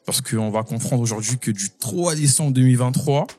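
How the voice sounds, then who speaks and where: A man's voice: medium pitch, moderate pace, mellow, soft background music. A man hosting a casual interview, encouraging his guest and often repeating his own phrases. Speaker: host.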